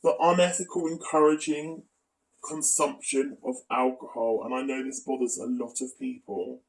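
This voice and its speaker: A man's voice: mid-range voice, fairly even intonation, moderate pace, distant mic, rough recording. A man giving critical, explanatory commentary as a video commentator.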